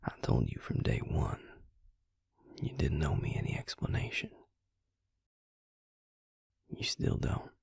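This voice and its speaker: Male vampire mate for romantic audio roleplay, acted by a man. Slow, gentle, protective delivery, heavily gravelled and half-whispered. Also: a bass voice, animated, no room sound, studio quality, full character voice. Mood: earnest, reassuring. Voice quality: husky, breathy, gravelly near-whisper.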